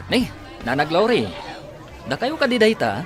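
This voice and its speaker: A man acting a friendly, concerned old friend in a radio drama, speaking in an animated way. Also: high voice, unhurried, faint music behind, a faint crowd, heavily acted. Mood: friendly, curious.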